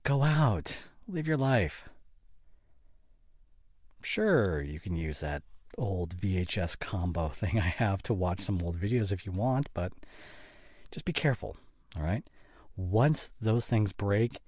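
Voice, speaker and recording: A man's voice: low voice, expressive, slow, long pauses, darkish tone, no echo, studio quality, exaggerated voice. A man playing a forgetful, dismissive young gamer boyfriend in an audio roleplay, with a casual, slangy, coaxing way of talking. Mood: impatient, dismissive. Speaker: voice actor.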